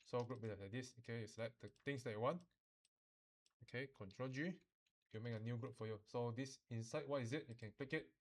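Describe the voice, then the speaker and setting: A man teaching software informally, code-switching and often checking that students follow. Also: medium pitch, a little fast. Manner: instructional, often checks understanding, uses particles like lah. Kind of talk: informal software tutorial mixing English and Mandarin.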